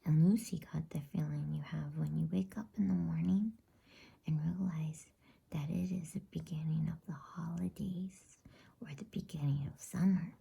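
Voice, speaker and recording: A woman reads a bedtime story in a very breathy ASMR whisper. Her voice is low and extremely soft-edged, hushed and soothing, moving at an unhurried pace.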